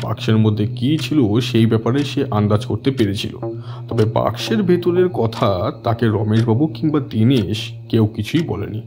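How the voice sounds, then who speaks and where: A man's voice: on the low side, lively intonation, moderate pace, over music. An actor playing a matter-of-fact male police officer in an audio drama, methodical and explanatory. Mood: speculating.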